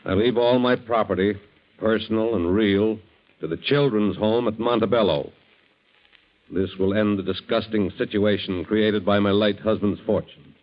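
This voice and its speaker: Man as a grave, deep-voiced doctor. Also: steady tone, normal speed, lightly acted. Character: grave male doctor reading a letter aloud. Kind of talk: 1940s radio drama, dramatic read-aloud. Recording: dull 1940s radio recording.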